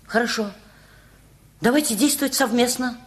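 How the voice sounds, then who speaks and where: Actress as high-voiced, bossy, proper mother. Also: normal speed, lightly acted. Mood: urgent, persuasive. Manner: insistent, commanding, emphatic. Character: bossy, proper, anxious mother of a student son. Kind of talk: radio play acting.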